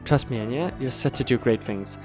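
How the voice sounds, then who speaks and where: A man playing an encouraging school interviewer in an audio drama, measured and reassuring. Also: medium pitch, music in the background, natural voice.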